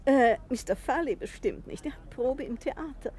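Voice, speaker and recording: An actress in a 1960s German radio play voicing a small, eccentric old spinster, plaintive, wistful and rambling. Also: female voice, high voice, animated, normal speed, a little mellow, slight background noise. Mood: rambling, hesitant.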